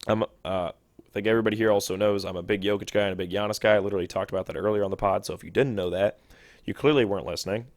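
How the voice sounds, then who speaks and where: Young male podcast host, lowish voice, quick chatty delivery with self-corrections, dry close mic. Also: fairly even intonation, dry recording. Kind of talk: solo sports podcast talk.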